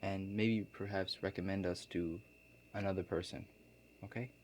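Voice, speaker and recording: A man playing a laid-back construction contractor in an ASMR role play, explaining things to a client in a halting, matter-of-fact way. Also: low-pitched, fairly even intonation, a little mellow, a little hiss or hum. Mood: casual, unhurried.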